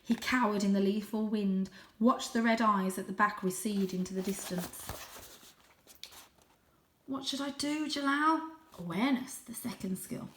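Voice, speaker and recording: A woman reading a children's story aloud in an expressive, suspenseful, animated way. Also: mid-range voice, normal speed, hardly any pauses, roomy sound, slight background noise. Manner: animated, suspenseful, with gasped asides. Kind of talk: dramatic, expressive audiobook-style story narration.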